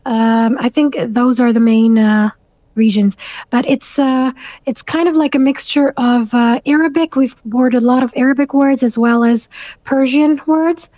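A young woman, raised in Canada and speaking Urdu as her first language, answers interview questions with a slightly high, mellow voice. She pauses often and fills gaps with soft ums and uhs. The sound is dry and a bit muffled, like lo-fi remote audio. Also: fairly even intonation, dry recording. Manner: thoughtful, many fillers, soft laughs. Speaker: guest author.

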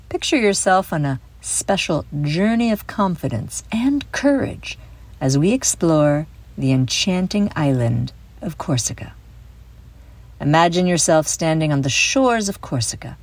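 Slow, low-voiced woman guiding kids' relaxation. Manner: soothing, deliberate instruction with gentle emphasis. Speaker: host and guide of a children's mindfulness audio show. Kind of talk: guided visualization, scripted narration for kids.

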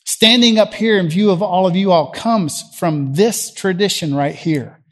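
A middle-aged man with a Southern US accent preaches from a church platform, his voice sitting fairly high for a man. He talks to the congregation the way he would in conversation, asking them questions. He swings from loud emphasis down to quiet. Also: unhurried. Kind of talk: sermon.

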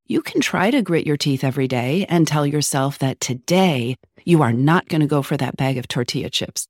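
A woman hosting an advice podcast, talking with a sore throat, a little scratchy, earnest and coaching, slowing down on key points. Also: deep voice, a little mellow, no room sound, studio quality. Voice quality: slightly scratchy. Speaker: podcast host, psychologist.

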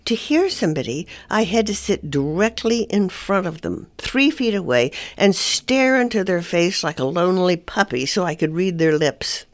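Wry woman reading her column, lively pitch. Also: dry recording. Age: older. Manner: wry, self-mocking delivery. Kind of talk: reading a humorous personal column.

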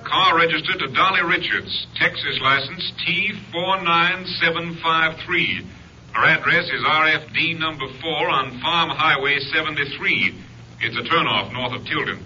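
An actor playing an efficient American police captain in a fifties radio drama, crisp and official in his reports. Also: on the high side, unhurried, bright, forceful tone. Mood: brisk reporting. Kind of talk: 1950s radio drama acting. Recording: muffled old radio recording, faint noise.

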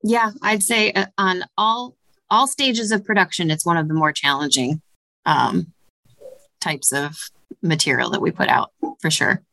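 Woman, lower pitch, bright tone, dry studio sound, careful explanatory talk. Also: vocal fry, dry recording. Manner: measured, explanatory, frequent "um" fillers. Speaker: studio director. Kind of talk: podcast interview answers.

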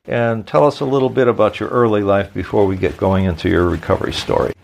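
A man hosting a podcast, reading a sponsor message and then interviewing a guest in an unhurried way with some hesitant fillers. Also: fairly low pitch, fairly even intonation, vocal fry, slight background noise. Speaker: podcast host.

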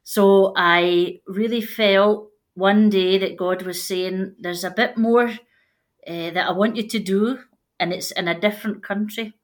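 A woman's voice: mid-range voice, steady tone, a little slow. Woman, Scottish accent, missionary guest, earnest, plain-spoken, interview. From Scotland. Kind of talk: interview conversation.

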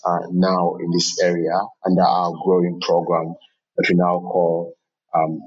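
A man from Uganda giving thoughtful interview answers as a podcast guest, explaining in a measured, earnest way. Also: sits low, husky, far from the mic, highs cut off. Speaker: podcast guest, agribusiness founder.

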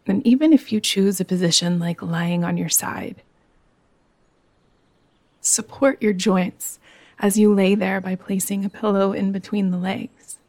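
A woman guiding a yoga nidra meditation in a soothing, gentle, unhurried manner. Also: medium pitch, fairly even intonation, dry recording. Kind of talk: guided meditation.

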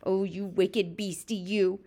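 A woman voicing an excitable, dramatic male giant in a storybook reading, exclaiming in ungrammatical, folksy speech. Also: medium pitch, takes it slowly. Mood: exasperated, dramatic. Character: excitable big-eared male giant, dream catcher, dramatic.

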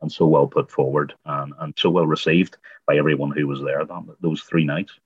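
A man's voice: extremely low pitch, level tone, normal speed, breathy edge, muffled recording. A man with a Northern Irish accent hosting community radio and interviewing in a conversational style. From Northern Ireland.

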